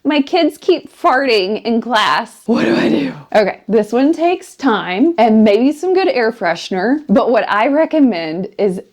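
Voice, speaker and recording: A woman's voice: mid-range voice, a little mellow. A woman elementary teacher talking to camera, sharing practical classroom management tips in an upbeat, direct way.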